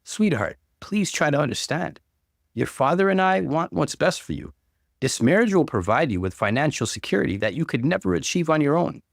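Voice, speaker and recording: A coaxing middle-aged mother played by a male story narrator, pleading and exasperated. The pitch is lifted and lively, and the recording is dry and close. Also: fairly quick, creaky, no room sound, full character voice. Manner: coaxing, persuasive.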